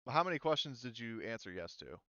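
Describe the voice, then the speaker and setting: A man acting as game master, casually explaining tabletop game rules in a halting way with repeated words. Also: medium pitch, sing-song, a bit bright, no echo, highs cut off.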